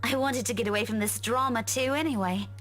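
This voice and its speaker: A woman's voice: a little high, fairly quick, fairly bright tone. A woman voicing a friendly, blunt young woman who talks in a breezy, casual way.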